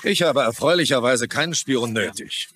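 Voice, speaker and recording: An actor playing a dismissive, dryly sarcastic barkeeper in a dubbed crime drama. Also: male voice, medium pitch, moderate pace, pushed and bright, natural voice. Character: dismissive, dry-humored barkeeper.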